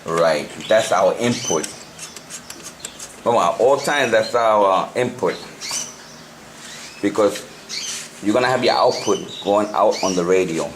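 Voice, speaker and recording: A man giving an unscripted hands-on tutorial, talking haltingly and repeating himself as he works through the steps. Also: fairly low pitch, expressive. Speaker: hobbyist presenter of a CB radio tutorial. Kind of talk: unscripted hands-on how-to demonstration. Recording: noisy amateur home video.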